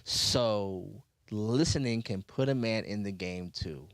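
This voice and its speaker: A man's voice: on the low side, slow, dry recording, clean studio sound. A man hosting a casual podcast, telling stories with slang and frequent laughter. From Cleveland, USA. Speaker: podcast host.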